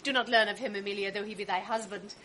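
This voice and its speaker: An actress playing the spirited young wife of a general in a 1980s radio drama, gracious and playfully teasing. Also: female voice, medium pitch, fairly even intonation, fast talker, fairly bright tone, slight background noise, lightly acted.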